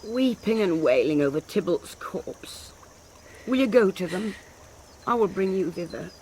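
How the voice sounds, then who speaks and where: An actress playing an old, blunt and loyal grieving nurse in a Shakespearean radio drama. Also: female voice, mid-range voice, animated, a little slow, a little mellow, slight background noise, lightly acted. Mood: soothing, practical.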